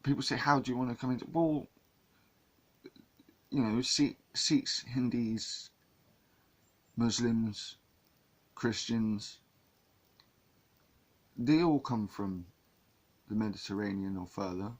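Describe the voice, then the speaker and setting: A man's voice: medium pitch, slow, pauses often. A man from England talking in a casual monologue to camera, rambling with many restarts and repeated words. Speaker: vlogger.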